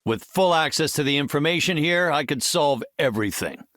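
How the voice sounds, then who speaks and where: A middle-aged scientist superhero from a video game. His delivery is articulate and formal, with lively swings of pitch. He comes across as earnest, polite and dryly witty. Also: brisk, dry recording, studio quality. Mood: measured, earnest. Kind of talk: video game character dialogue.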